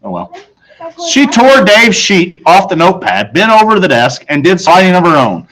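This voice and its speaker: A man's voice: high voice, big pitch swings, normal speed, fairly bright tone, low-quality recording. A man reading aloud to children as a storyteller, in the manner of a teacher narrating a book. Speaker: audiobook reader, teacher.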